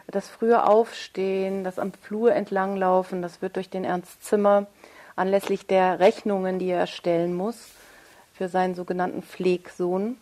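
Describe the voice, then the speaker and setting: Female author as interview guest, mid-pitched voice, thoughtful and articulate explanations. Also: flat delivery, measured pace, warm tone. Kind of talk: reflective interview conversation.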